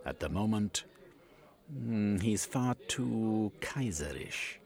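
A man's voice: fairly low pitch, expressive, takes it slowly, vocal fry, darkish tone, heavily acted. A man voicing a scheming, scornful male foreign spy villain who threatens and sneers.

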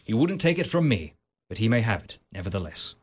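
A man's voice: on the low side, sing-song, a little fast, darkish tone, a little hiss or hum. A man playing a merry, teasing young host. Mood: warm. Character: jolly young man hosting a parlour game. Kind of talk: 1940s-style radio drama acting.

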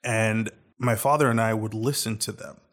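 A young man co-hosting a casual podcast, animated and jokey, with quick bursts of speech. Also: fairly low pitch. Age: young adult. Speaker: podcast co-host.

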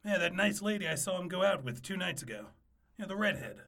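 An actor playing a scruffy, easygoing ex-boyfriend in a comedic audio drama, casual and teasing. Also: male voice, high voice, expressive, brisk, gravelly, a bit bright, full character voice. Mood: goading.

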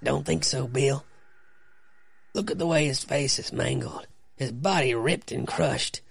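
Gravelly sheriff played by a man. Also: medium pitch, unhurried, dry recording, full character voice. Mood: grim. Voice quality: gravelly, raspy. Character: grizzled small-town sheriff, grim old storyteller. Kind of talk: comic-book radio play.

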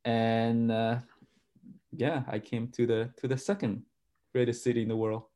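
A man in his forties from Argentina answers interview questions in a middle-pitched voice. He talks haltingly, restarting sentences and repeating small words as he thinks. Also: little pitch movement, dark tone. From grew up in Argentina. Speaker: guest, pastor and sociologist.

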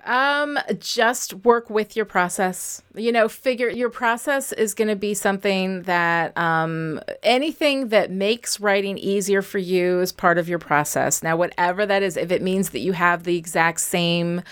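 A woman's voice: medium pitch, unhurried, hardly any pauses, fairly bright tone, smooth. A woman giving informal, encouraging, explanatory advice in a casual instructional chat, as a coach. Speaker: writing coach and guest co-host.

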